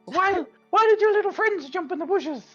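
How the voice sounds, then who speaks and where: A chirpy little halfling guard with a pipe, high and sing-song, bouncing up and down , as a man puts him on. The sound is dull and lo-fi. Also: lively intonation, normal speed, bright, forceful tone, faint music behind, heavily acted. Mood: friendly. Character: cheerful small male halfling border guard, pipe-smoking.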